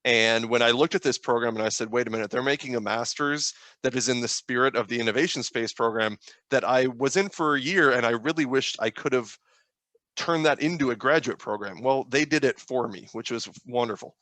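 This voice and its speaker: A man's voice: mid-range voice, a little fast, sharp tone, dry recording. A man in his thirties talking informally as a student panelist in a webinar, introducing his background. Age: 30s.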